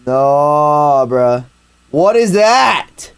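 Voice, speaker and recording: A man's voice: fairly high pitch, very animated, very slow, creaky, smooth. A man casually commenting as he reacts to horror videos, repeating short exclamations and phrases.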